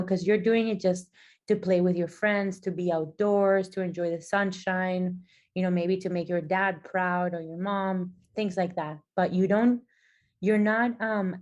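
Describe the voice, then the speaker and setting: A woman from Venezuela speaks as a podcast guest in a fairly low voice. She talks thoughtfully and conversationally, often circling back and restarting her sentences. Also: a little mellow, highs cut off. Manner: thoughtful, with frequent restarts and fillers. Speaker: guest, physical therapist and athlete. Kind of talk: conversational interview answers.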